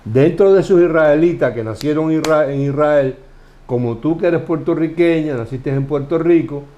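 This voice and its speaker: A man from Cuba with a Cuban Spanish accent, explaining Bible passages in a relaxed study conversation, asking questions and restarting phrases as he goes. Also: a little high, moderate pace, lo-fi sound. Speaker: Bible teacher.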